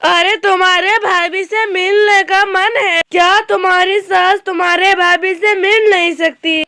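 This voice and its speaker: A woman plays a fussy cartoon bird mother-in-law who nags and scolds. Her voice is very high and piercing, and she draws her words out slowly in exasperation. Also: fairly even intonation, pushed and bright, dry recording, clean studio sound, exaggerated voice. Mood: complaining. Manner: complaining, nagging. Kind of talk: exaggerated cartoon dialogue.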